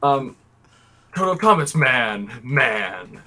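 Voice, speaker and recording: Laid-back male gamer, slow delivery, bright slightly high voice. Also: very animated. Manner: laid-back, short quips. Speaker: player on the server. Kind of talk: casual gaming chat.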